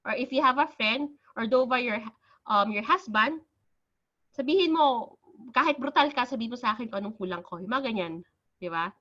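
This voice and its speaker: A woman's voice: a little high, expressive, brisk, bright, forceful tone. A woman with a Filipino accent teaching an online speaking class over a video call, switching between Tagalog and English and reading out sample answers. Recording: dull lo-fi video call audio.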